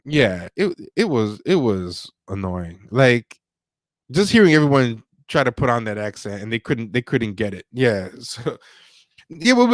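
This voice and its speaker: A man's voice: mid-range voice, no room sound. A man hosting a casual livestream, reviewing movies in a rambling, opinionated way with lots of fillers and restarts. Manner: reads viewer donations aloud. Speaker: podcast host.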